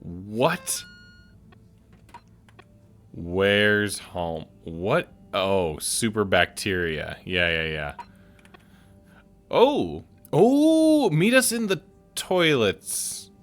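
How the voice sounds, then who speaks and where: A man hosting a let's-play video, giving chatty, excitable commentary. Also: fairly low pitch, drawn-out words, pauses often, soft background music. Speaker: gaming video host.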